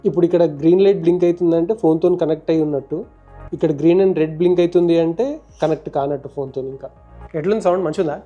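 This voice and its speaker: Telangana telugu guy doing a casual tutorial vlog, talks super fast, highish soft-toned voice, bit of music behind. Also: fairly even intonation, highs cut off. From India. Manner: explains step by step, conversational. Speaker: motovlogger and host.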